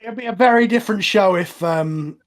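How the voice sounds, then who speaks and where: A man with a fairly high-pitched voice gives casual commentary. He talks as a co-host in a relaxed, conversational watch-along style. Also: normal speed. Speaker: writer and co-host of a cast commentary.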